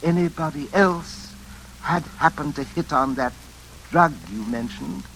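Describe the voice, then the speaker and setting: An actor playing a nervous, suspicious, fussy bachelor gentleman with a British accent and hesitant, formal speech in an old-time radio drama. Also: on the high side, a little slow. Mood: uneasy, probing. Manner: hesitant, stammering, proper and formal. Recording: noisy vintage radio drama recording.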